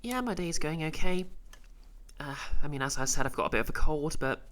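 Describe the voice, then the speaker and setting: A woman's voice: low voice, expressive, a little fast, a bit bright. A woman streamer chatting casually with her viewers, voice a bit off from a cold, with dry, mock-pompous joking. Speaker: game streamer.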